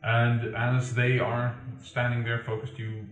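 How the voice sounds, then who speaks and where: A man narrating a tabletop game as game master, pausing often with ums and uhs. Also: mid-range voice, completely flat delivery, lo-fi sound, faint music behind. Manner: halting, many ums and uhs. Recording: echoey room, far from the mic.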